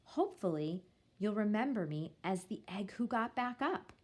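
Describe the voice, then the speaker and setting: A woman reading a children's picture book aloud in the first person, with measured, expressive storytelling. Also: medium pitch.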